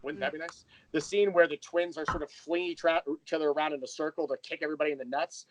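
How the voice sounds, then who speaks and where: A man's voice: on the high side, fairly even intonation, quick pace, a crowd in the background, rough recording. A man talking casually and animatedly as a podcast guest and creator, telling about his work with enthusiasm. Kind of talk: casual interview chat.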